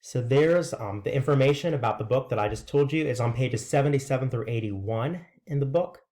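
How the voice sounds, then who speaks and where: A man's voice: mid-range voice, a little fast, darkish tone, a little hiss or hum. A man reading aloud in a scripted narration style, presenting a written story to listeners. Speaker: reader presenting a read-aloud.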